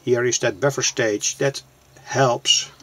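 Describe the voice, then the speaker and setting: A man giving an unscripted hands-on demonstration as a hobbyist presenter, explaining things in a halting, deliberate way. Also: mid-range voice, fairly even intonation, a bit bright, a little hiss or hum.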